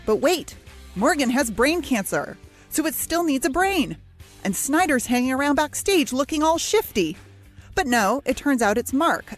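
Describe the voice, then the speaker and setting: A woman co-hosts a podcast in a somewhat high voice. Her manner is sardonic, whether recapping from a script or chatting, with a little music in the recording. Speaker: podcast co-host. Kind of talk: scripted recap and casual chat.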